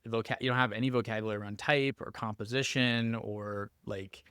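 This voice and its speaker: A man with a fairly low voice hosts a podcast in a casual, conversational way. His delivery is informal and halting, with frequent self-corrections. The sound is dry, with very little room around him. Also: steady tone, pushed and bright, clear, resonant tone, no echo. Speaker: podcast host.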